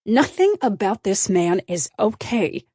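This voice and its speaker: Brisk, protective female publicist for storybook, commanding and snappy voiced by a woman narrator, mid-pitched. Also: big pitch swings, darkish tone, no echo, clean studio sound. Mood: sharp, hostile.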